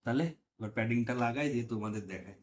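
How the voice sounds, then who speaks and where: A man's voice: medium pitch, very fast, slight background noise. A man narrating a hands-on motorcycle vlog tutorial casually, talking viewers through each step in an informal way. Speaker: motorcycle vlogger.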